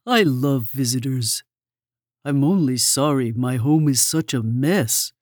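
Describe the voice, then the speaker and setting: Lady doing a sweet fussy mama mole, low mellow voice, slow and soothing, dry close sound. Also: dry recording. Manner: soothing, with a French greeting. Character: kindly motherly female mole, fussing and warm. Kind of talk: storybook read-aloud.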